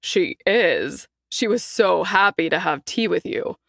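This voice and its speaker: Woman doing a smug young guy, lower and slow, easygoing. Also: lively intonation, vocal fry, no room sound, studio quality. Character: smug grinning young fiancé.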